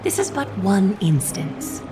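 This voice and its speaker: An actress voicing a serene, regal leader of a holy city in a fantasy game, speaking in a formal, measured way. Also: female voice, mid-range voice, wildly swooping pitch. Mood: gracious, composed. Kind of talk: scripted fantasy game voice acting. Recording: loud background music.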